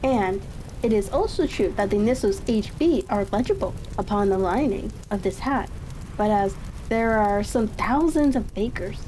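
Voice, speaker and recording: Female ASMR story reader, warm and relaxed tone, unhurried halting delivery, light crackling ambience underneath. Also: medium pitch. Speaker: audiobook reader. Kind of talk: calm ASMR story reading. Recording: steady rain-like crackling ambience behind voice. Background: fireplace crackle and ambient noise.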